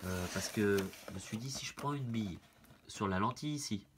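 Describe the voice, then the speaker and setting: A man's voice: fairly low pitch, brisk, background noise. A man with a head cold, demonstrating a product in a casual, hesitant way with many fillers. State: has a head cold, stuffy. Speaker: product reviewer. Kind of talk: casual hands-on product demo.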